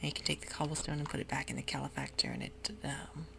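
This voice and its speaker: A host whispering a slow, meandering tour of a game world, close to the microphone, with frequent pauses and fillers. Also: medium pitch, big pitch swings, gravelly. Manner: soft mostly unvoiced whisper; hesitant, meandering, with many fillers. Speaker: gameplay ASMR host. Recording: audible hiss with keyboard clicks.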